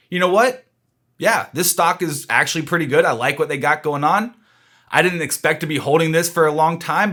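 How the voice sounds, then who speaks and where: Bright-voiced man giving stock commentary. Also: on the high side. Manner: conversational, explanatory, opinionated. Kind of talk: informal analytical commentary.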